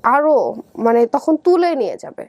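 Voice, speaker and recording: High-pitched young Bangladeshi woman. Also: lively intonation, normal speed, no room sound, studio quality. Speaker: guest telling her life story. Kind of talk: personal storytelling in conversation.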